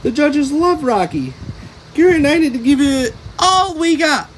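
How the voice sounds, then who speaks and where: A man voicing an excitable, eager young cartoon sea sponge in a gushing, exclaiming way for a storybook. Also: very high-pitched, normal speed, a little hiss or hum, voice pushed up, rough recording. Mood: panicked, pleading.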